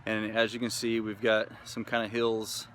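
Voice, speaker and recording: A man's voice: lowish voice, monotone, normal speed, a bit bright, a little hiss or hum. An American man from the Kansas City area giving a casual, rambling gear review and field test, full of fillers and restarts. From Kansas City area, USA. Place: outdoors in wooded hilly area.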